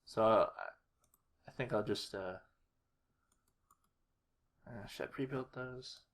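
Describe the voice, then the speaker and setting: Someone giving an informal editing walkthrough, talking haltingly and muttering to themselves while working. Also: mid-range voice. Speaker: tutorial creator. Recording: noisy home recording, keyboard and clicks. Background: mouse clicks and keyboard typing.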